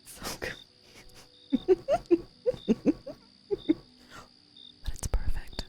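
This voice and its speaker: A woman live streamer whispering softly to her viewers, playful and giggly, rambling with many fillers, close to the microphone. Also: extremely slow. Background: music playing.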